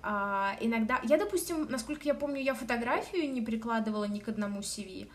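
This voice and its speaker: A young woman talks through advice on a casual podcast. Her voice sits fairly high. She speaks in a lively, animated way, with fillers and small laughs along the way. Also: fast. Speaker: podcast co-host.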